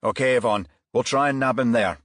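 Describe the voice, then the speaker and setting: A man voicing a steady, decisive senior male detective in an audiobook, terse and businesslike. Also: medium pitch, a little fast, no echo, studio quality, voice pushed up, lightly acted. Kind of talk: audiobook character dialogue.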